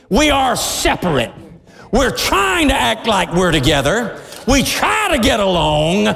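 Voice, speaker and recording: High-voiced impassioned preaching man. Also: creaky. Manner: impassioned, shouting preaching with laughs. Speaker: pastor. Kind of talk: sermon. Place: church sanctuary. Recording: long hall reverb.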